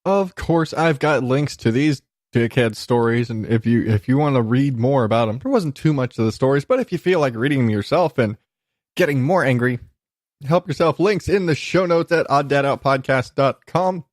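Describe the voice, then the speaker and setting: A man's voice: medium pitch, moderate pace, no room sound, clean studio sound. A man hosting a podcast in a casual, ranting comic monologue, exasperated and emphatic, with plenty of swearing. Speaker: podcast host.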